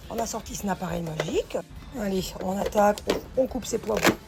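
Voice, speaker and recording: A hoarse woman chatting familiarly as she cooks, calling the viewer pet names. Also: medium pitch, fairly quick. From northern France. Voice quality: gravelly. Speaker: home cook. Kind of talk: casual cooking vlog chat. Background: background music.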